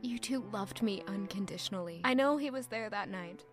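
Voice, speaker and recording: Audio drama role: grieving young woman, played by an actress, lonely and reflective, medium pitch, music underneath. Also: fairly quick, close to an everyday voice. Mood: wistful, grieving. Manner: wistful, reflective.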